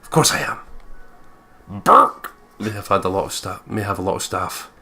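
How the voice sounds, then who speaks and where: A man's voice: deep voice, expressive, measured pace, gravelly, sharp tone. A man reading visual novel narration aloud and breaking into jokey, profane commentary as a gaming co-host. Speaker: let's play co-host.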